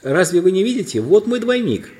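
A man's voice: sits high, sing-song, brisk, darkish tone, pitched above the performer's own voice. A man playing a brave, unruly young prince in a storybook, impetuous and full of exclamation. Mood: elated.

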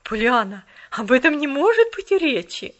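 A woman's voice: high voice, very animated, moderate pace, a bit bright. A woman reading aloud and voicing Mrs. Carew, a strict, stern older lady who scolds sharply. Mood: scandalized. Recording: dull lo-fi recording.

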